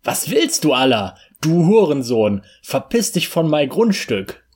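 A man's voice: on the high side, very animated, unhurried, slightly rough, voice pushed up, heavily acted. A man doing a foul-mouthed raging landowner. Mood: furious yelling. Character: foul-mouthed angry man chasing people off his land.

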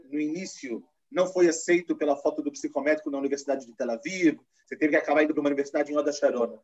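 A man's voice: a little high, darkish tone, husky. A man hosting a live-streamed interview, talking in a loose, conversational way and asking long, rambling questions. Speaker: host. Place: lives in Israel. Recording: muffled lo-fi stream audio.

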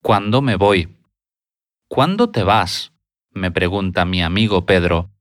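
Brief: man, lesson speaker, slow and low, dry close sound, repeats every answer in full sentences. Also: lively intonation, no room sound. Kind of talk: slow, deliberate lesson dialogue.

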